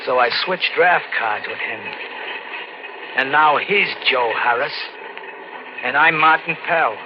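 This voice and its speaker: An actor playing a frightened, guilt-ridden drifter with a record, talking in halting slang in a 1940s radio thriller style. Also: male voice, fairly high pitch, background noise. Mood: panicky, scheming. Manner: halting, anxious, repeats words, street slang. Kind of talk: 1940s radio thriller acting, first-person narration. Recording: dull old radio recording with music. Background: dramatic music.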